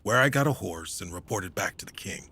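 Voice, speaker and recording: An actor playing a battle-hardened knight hero in a fantasy audio drama. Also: male voice, sits low, animated, fairly quick, pushed and bright, slightly rough, exaggerated voice. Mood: rueful, reflective. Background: faint music.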